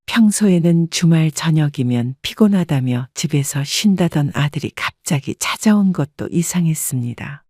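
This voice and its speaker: A woman narrating a scripted first-person family story in a calm, reflective tone. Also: low-pitched, normal speed, few pauses, no echo, studio quality.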